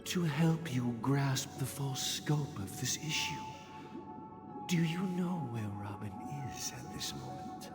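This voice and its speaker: Grave, expressive older master, echoing. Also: male voice, mid-range voice. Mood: grave, probing. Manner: grave, deliberate, formal. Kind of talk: dramatic video game voice acting. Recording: long reverb, music underneath. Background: game music.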